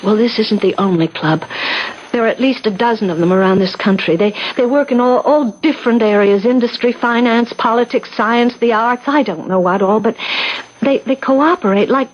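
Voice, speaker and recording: Woman acting alarmed wife, high voice, halting urgent delivery, vintage radio drama, muffled lo-fi sound. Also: lightly acted. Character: alarmed older wife convinced husbands are alien robots. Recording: dull lo-fi 1970s radio recording.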